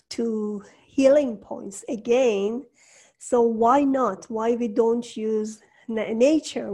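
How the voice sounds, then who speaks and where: Slow-spoken, higher-voiced woman hosting. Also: dark tone. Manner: non-native, halting English phrasing. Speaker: interview host, coach. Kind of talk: conversational interviewing.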